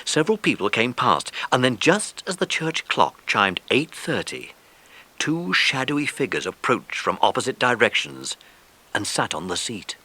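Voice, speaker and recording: A man's voice: medium pitch, big pitch swings, normal speed, bright, forceful tone, low-quality recording. A man narrating a children's story from a script, as the narrator of an audiobook.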